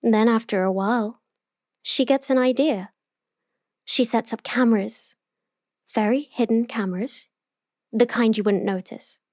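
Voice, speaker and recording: A woman playing an obsessive, possessive young yandere in an intimate roleplay audio monologue, telling her story with deliberate emotional intensity. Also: a little high, darkish tone, no room sound, studio quality, natural voice. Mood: sweetly wistful.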